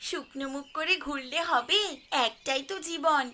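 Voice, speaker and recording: A woman's voice: sits high, normal speed, bright, forceful tone, a little hiss or hum. A woman voicing a boastful village wife who sneers at poorer neighbours in sing-song taunts, in a moral-tale cartoon. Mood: smug, taunting.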